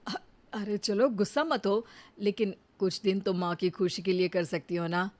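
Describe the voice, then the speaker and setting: An earnest young husband and son, voiced by a woman in a storybook cartoon. He talks in a plain, reasoning way at an ordinary mid pitch. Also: steady tone, normal speed, a little mellow, lightly acted.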